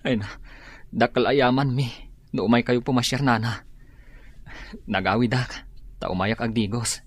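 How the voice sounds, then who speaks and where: An actor with an Ilocano Filipino accent playing a dutiful, weary young son of a poor family in a radio drama. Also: male voice, mid-range voice, fairly even intonation, measured pace, lightly acted. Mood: weary, polite.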